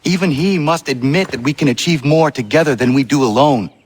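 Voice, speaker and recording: A man's voice: a little high, husky. An actor playing an earnest, proud young male Assassin who speaks formally and with measure in a game cutscene. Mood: earnest, hopeful. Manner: formal, measured, avoids contractions. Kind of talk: video game cutscene acting.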